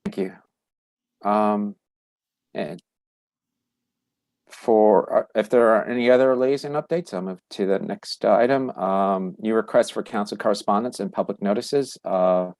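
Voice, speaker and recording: Halting man with a low voice. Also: darkish tone, no room sound. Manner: halting, many fillers and restarts. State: losing his voice. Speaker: council meeting chair. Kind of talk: virtual public meeting.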